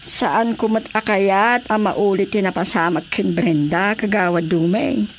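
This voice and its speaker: A woman's voice: medium pitch, fairly even intonation, unhurried, a little hiss or hum, lightly acted. An actress playing a fretful, gossipy older village mother in a Filipino radio drama comedy, with an Ilocano accent. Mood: concerned, gossipy.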